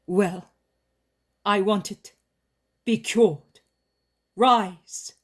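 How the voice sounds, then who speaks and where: Woman reading as a calm holy man, solemn and commanding, slow storybook pace. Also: lowish voice. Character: calm authoritative male holy healer.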